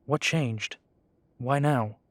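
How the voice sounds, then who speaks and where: A man's voice: medium pitch, very slow, no room sound. A young man voicing a young male werewolf pack leader who talks earnestly and deferentially. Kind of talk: amateur audio drama acting.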